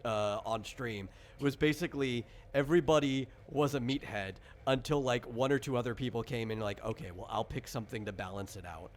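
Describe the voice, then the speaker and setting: A man's voice: medium pitch, fairly quick, hardly any pauses. A man chatting casually as a game master, explaining things in an informal, rambling way. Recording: clear background noise.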